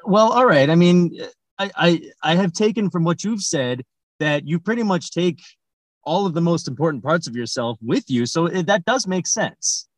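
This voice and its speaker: Dryly bemused male podcast host, higher voice. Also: animated, normal speed. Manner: hesitant, dryly bemused straight man. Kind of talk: casual comic interview.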